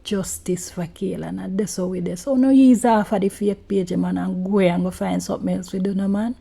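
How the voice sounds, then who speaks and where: A woman's voice: medium pitch, fairly even intonation, fairly quick, creaky, dark tone, slightly rough. A woman with a Jamaican accent, speaking informally and directly to viewers as a presenter.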